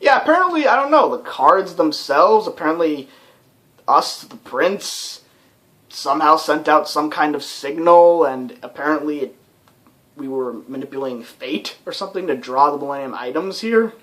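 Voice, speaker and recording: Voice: mid-range voice, lively intonation, normal speed, fairly bright tone. A young adult rambling casually as a let's play commentator while playing a video game. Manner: rambling and chatty, with many fillers. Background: game soundtrack music.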